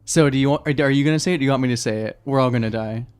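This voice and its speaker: A man's voice: medium pitch, very fast, clear, resonant tone. Sardonic male tabletop player, faint music. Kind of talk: casual improvised role-play chat.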